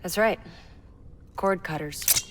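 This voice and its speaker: Low-voiced, calm game engineer. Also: female voice, takes it slowly. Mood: calm, businesslike. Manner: matter-of-fact, explanatory. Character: calm, clever woman engineer explaining her invention. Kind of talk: video game cutscene acting.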